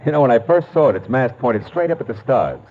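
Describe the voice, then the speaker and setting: An American actor playing a wry, tough private detective in an old radio drama, with punchy hard-boiled delivery. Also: male voice, medium pitch, lively intonation, fast talker, close to an everyday voice. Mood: explaining, assured. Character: wry, tough male private detective telling his case. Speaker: lead actor and narrator. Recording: muffled narrowband old radio, music behind.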